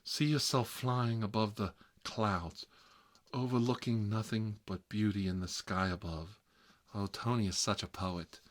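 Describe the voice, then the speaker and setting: Man playing a slow, low-voiced fussy bachelor. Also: steady tone, natural voice. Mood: gossipy, indignant. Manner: rambling, halting, confiding, prissy. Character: fussy, gossipy bachelor uncle living with his mother. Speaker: ASMR roleplay performer. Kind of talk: improvised comedic ASMR roleplay.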